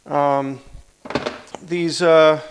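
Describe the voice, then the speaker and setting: A man's voice: on the high side, steady tone, extremely slow, slight background noise. A man talking through a casual hands-on product review in a plain-spoken, blunt way, demonstrating as he goes. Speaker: product reviewer, motorcycle channel host.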